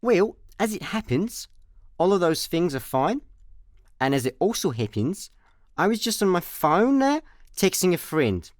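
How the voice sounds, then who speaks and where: A man's voice: high voice, big pitch swings, measured pace, dry recording, clean studio sound. A man with a Scottish accent playing a cocky, flippant space layabout in a comic sci-fi radio play. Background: music.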